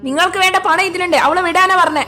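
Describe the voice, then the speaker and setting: A woman's voice: very high-pitched, steady tone, quick pace, sharp tone, faint music behind, pitched below the performer's own voice. A woman voicing an earnest, desperate husband who pleads in an amateur cartoon melodrama. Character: desperate young husband pleading for his captive wife.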